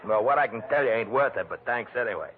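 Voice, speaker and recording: An actor playing a gabby dive-bar bartender with folksy nautical slang, in 1940s radio drama style. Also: male voice, mid-range voice, animated, quick pace, lightly acted. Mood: gossipy. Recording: tinny, noisy old radio broadcast.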